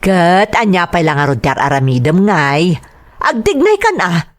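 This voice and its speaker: Bossy stepmother with animated intonation, acted by a woman. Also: lowish voice, measured pace. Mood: impatient scolding. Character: bossy, bad-tempered stepmother, sharp-tongued. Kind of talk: Ilocano audio drama acting.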